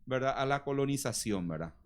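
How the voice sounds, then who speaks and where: A man's voice: mid-range voice, lively intonation, fairly quick, clean tone. A man with a Paraguayan Spanish accent, talking as a guest on a talk show, emphatic and repetitive, slipping into Guarani phrases. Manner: emphatic, repeats words, mixes in Guarani. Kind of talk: casual talk show conversation.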